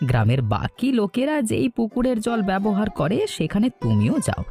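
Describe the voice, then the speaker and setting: A man plays a cheerful singing villager from a cartoon folk tale. His voice is warm and mellow and moves up and down in a very lively way. He chats in a friendly sing-song as he passes rumours along. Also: high voice, a little fast, faint music behind, exaggerated voice. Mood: chatty, informative.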